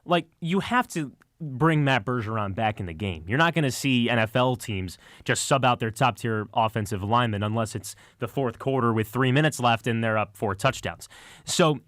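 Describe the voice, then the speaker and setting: A man's voice: medium pitch, moderate pace, fairly bright tone, no room sound. A male sports analyst with a mid-range voice talks in a conversational radio style. He explains things earnestly and sometimes trips over his words. The studio sound is very dry. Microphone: close studio microphone.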